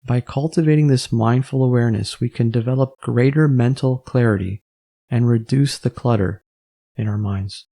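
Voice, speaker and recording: A man narrating a guided meditation as the instructor, gently and in measured phrases with pauses. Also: medium pitch, gravelly.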